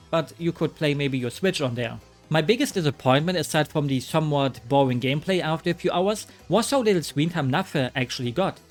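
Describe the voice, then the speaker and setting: A man narrating a scripted game review with wry, joking asides. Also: fairly high pitch. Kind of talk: scripted commentary.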